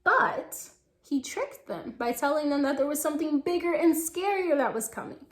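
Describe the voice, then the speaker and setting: A woman reading to young children and discussing the book, expressive and asking questions directly to the listeners. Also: high voice, moderate pace, roomy sound. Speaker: children's story reader and teacher. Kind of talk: animated read-aloud and discussion for young children.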